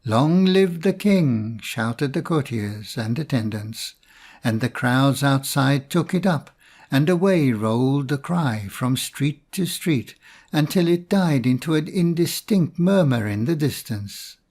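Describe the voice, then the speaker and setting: A man reading a storybook fairy tale in a measured, expressive storytelling manner. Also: mid-range voice. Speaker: storybook narrator.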